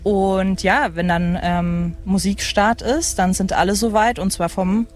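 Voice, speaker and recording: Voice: medium pitch, fairly even intonation, a little slow. An enthusiastic radio host in their thirties. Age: 30s. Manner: enthusiastic, hesitant searching for words. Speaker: radio host at a music festival. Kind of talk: casual live radio chat. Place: open lounge area at a festival site. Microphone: broadcast microphone.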